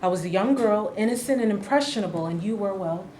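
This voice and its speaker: A woman's voice: mid-range voice, expressive, quick pace, dark tone, natural voice. A woman acts out a bold, confrontational wife in a stage play reading. She is blunt, scornful and will not back down. Her mid-range voice rings in an echoey room with faint noise. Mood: bitter, firm. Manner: challenging, blunt.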